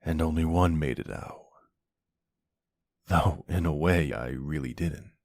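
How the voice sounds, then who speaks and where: A man playing a brooding, centuries-old vampire hunter of his own kind in an ASMR roleplay, speaking in a gravelly, deliberate, halting way. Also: rumbling low, darkish tone, no echo, heavily acted. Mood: somber, regretful. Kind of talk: ASMR audio roleplay, scripted dramatic monologue.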